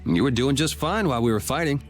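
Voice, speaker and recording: A man's voice: mid-range voice, expressive, fast talker, soft background music, natural voice. An actor playing a cool, laid-back, cocky young swordsman in a video game. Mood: teasing.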